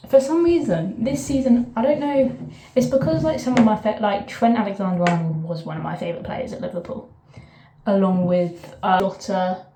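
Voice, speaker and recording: A woman with a southern English accent chats to camera from a bath, her mellow, warm voice bouncing off the hard walls of an echoey bathroom. She rambles through stories in fits and starts, breaking off into asides and picking the thread back up. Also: medium pitch, a little hiss or hum. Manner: rambling, chatty, self-interrupting asides. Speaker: vlogger. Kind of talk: casual chat to camera.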